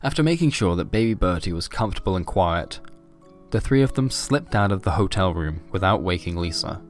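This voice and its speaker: A man's voice: deep voice, lively intonation, a little fast, soft background music. A man reading a scripted, surreal horror story as its narrator.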